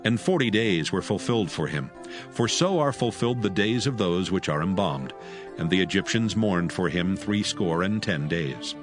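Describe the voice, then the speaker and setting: A man's voice: low voice, lively intonation, normal speed. A man narrating scripture as the storyteller of a dramatized audio Bible. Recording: music under the voice.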